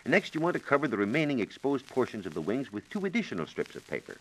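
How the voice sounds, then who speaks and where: A man's voice: mid-range voice, sing-song, quick pace, rough recording. A man explaining science on a 1940s radio program in a precise, methodical, formally worded manner, on a vintage broadcast recording.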